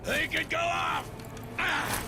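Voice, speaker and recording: An actor playing a sadistic turncoat soldier in a military game, with a gravelly American voice and a mocking, drawling manner. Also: male voice, very high voice, fairly even intonation, takes it slowly, very noisy, heavily acted. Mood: taunting rage. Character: sadistic, taunting turncoat soldier villain, mature man. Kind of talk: cinematic military game acting.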